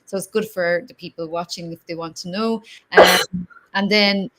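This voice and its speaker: A woman's voice: on the low side, steady tone, normal speed, background noise. A middle-aged woman hosting a live online chat, chatty and friendly as she reads out viewer comments on a video call. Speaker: host.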